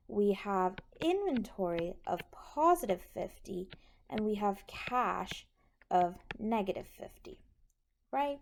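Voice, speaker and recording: A woman's voice: mid-range voice, creaky, a little hiss or hum. A woman instructor patiently explaining a tutorial step by step, often checking that the listener is following. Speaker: accounting instructor.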